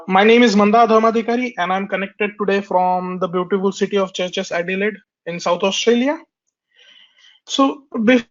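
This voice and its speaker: A man with a fairly high voice walks listeners through a technical webinar, his voice nasal from a cold. He hesitates often, filling gaps and restarting phrases. The sound is muffled and lo-fi, like a webinar conference call. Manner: hesitant, many fillers and restarts. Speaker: webinar presenter. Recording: dull lo-fi webinar audio.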